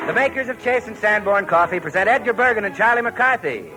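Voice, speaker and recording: A man's voice: high-pitched, animated, quick pace, over a noisy crowd, low-quality recording. A man announcing a 1940s radio show in a showy, proclaiming manner. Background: loud orchestral music.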